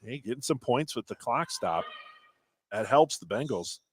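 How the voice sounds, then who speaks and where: A man's voice: medium pitch, animated, normal speed, slight background noise. An American man giving live radio analysis as a basketball color commentator. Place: indoor high school basketball gym.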